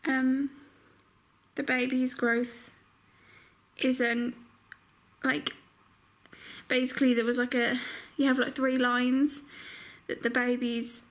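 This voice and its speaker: A young, heavily pregnant woman chatting casually to her camera at home, full of filler words, her voice croaky. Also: high voice, flat delivery, slow, pauses often. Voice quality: croaky morning voice. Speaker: vlogger. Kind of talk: casual vlog chat. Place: home bedroom.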